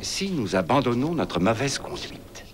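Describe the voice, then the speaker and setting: An actor playing a grave, commanding king of an ancient city, with solemn regal delivery, in a dubbed animated Bible cartoon. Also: mid-range voice, measured pace, heavy background noise. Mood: hopeful, penitent. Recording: loud music under the voice.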